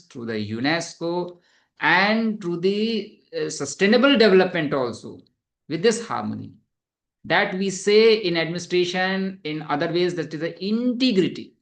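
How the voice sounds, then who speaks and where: A man giving an academic webinar lecture over a video call, explaining earnestly and haltingly, with many fillers. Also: on the high side, fairly bright tone.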